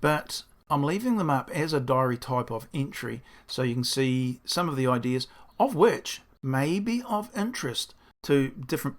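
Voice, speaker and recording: Croaky-voiced man explaining his build. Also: medium pitch. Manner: rambling, thinking aloud, self-deprecating. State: croaky, hoarse. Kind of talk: casual diary-style explanation.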